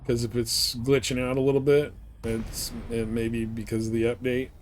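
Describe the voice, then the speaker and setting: A man's voice: mid-range voice, little pitch movement, moderate pace, a little mellow, slight background noise. A man chatting casually as he live streams a game. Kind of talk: casual gaming stream commentary.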